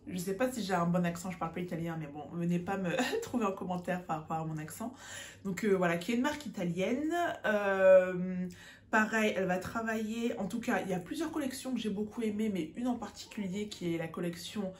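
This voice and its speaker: Chatty woman, echoey room. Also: medium pitch. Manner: chatty, many hesitations, mixes in English words. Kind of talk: casual chat to camera.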